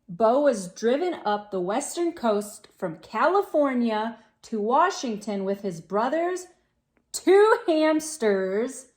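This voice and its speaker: Woman, higher-pitched voice, very unhurried pace, children's read-aloud host, expressive, exclamatory storytelling.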